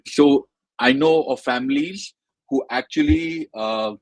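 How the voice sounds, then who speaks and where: A man with an Indian accent hosting a casual live-stream interview, haltingly searching for his words. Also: mid-range voice, no echo, rough recording. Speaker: podcast host.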